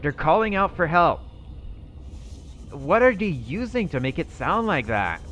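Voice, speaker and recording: A man's voice: fairly high pitch, very animated, a little slow, fairly bright tone. A man thinking aloud in character while he plays a survival game, musing and hesitant as he goes. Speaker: roleplay gaming channel host.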